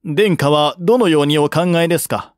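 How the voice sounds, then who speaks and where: A man's voice: on the high side, normal speed, dry recording, clean studio sound, voice pushed up, natural voice. A man voicing a respectful, reasoning envoy who reports formally to his prince in an audiobook. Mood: earnest, deferential. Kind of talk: audiobook character reading.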